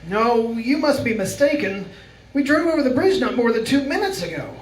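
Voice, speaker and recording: A man's voice: very high voice, sing-song, moderate pace. A man playing a breezy, disbelieving friend in a live old-time radio drama, with a teasing manner. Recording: echoey room with faint noise.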